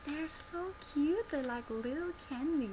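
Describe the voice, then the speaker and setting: Quiet soft asmr girl in her 20s explaining stuff real slow, noisy webcam sound in a bedroom. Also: high voice. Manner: gentle, unhurried, instructional. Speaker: ASMR creator playing a customer service presenter. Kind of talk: soft-spoken ASMR product demonstration. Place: small bedroom, indoors. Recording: noisy amateur home webcam recording. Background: clear background noise.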